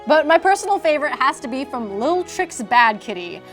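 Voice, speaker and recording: A woman's voice: high-pitched, big pitch swings, a little fast, faint music behind. A woman hosting a comedy series, telling scripted stories to viewers in a tongue-in-cheek way. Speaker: host of a comedy fairy tale series.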